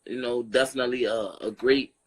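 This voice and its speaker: A young man from Brooklyn with a New York accent, speaking as a boxer guest in a casual interview. His voice sits at a middling pitch. He talks in a relaxed, laid-back way, often checking in with "you feel me?". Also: fairly quick.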